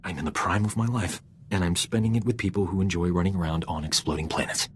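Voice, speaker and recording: An actor playing a cocky, flirtatious medic in a video game, smooth-talking and boastful, with a theatrical way of talking. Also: male voice, low-pitched, fast, slight background noise, close to an everyday voice. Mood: exasperated, pleading. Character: cocky, flirtatious male medic, self-promoting charming rogue. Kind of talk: video game character dialogue.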